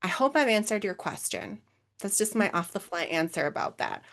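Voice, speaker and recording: A woman's voice: mid-range voice, animated, normal speed, gravelly, a bit bright. A woman with a Minnesotan accent presenting a webinar over a video call, answering questions in a chatty way with many fillers. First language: English.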